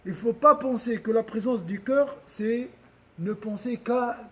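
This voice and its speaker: A man's voice: very high voice, measured pace. A man giving a religious lesson to a gathering, often questioning his listeners and repeating and restarting phrases as he explains. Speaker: religious teacher.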